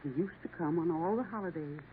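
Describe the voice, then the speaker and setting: An actress playing a stern, solemn elderly Mother Superior in a 1950s radio drama, measured and formal. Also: on the low side, close to an everyday voice. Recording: tinny old radio broadcast, faint hiss.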